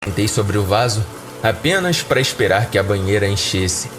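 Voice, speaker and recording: A man's voice: on the low side, lively intonation, moderate pace. A man with a Brazilian accent giving scripted first-person narration of a horror story as a podcast narrator. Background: music.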